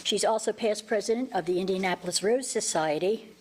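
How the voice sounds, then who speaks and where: A woman in her sixties introducing a longtime friend, with a faint hum of noise behind her. She speaks admiringly and pauses now and then to find her words. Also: medium pitch. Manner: warm, admiring, a little halting. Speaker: association treasurer introducing the speaker. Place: community meeting room.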